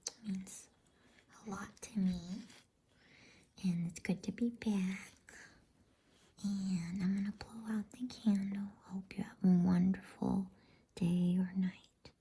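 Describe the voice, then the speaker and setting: Woman, ASMR bedtime host, breathy whisper, very slow, mellow. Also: medium pitch, fairly even intonation.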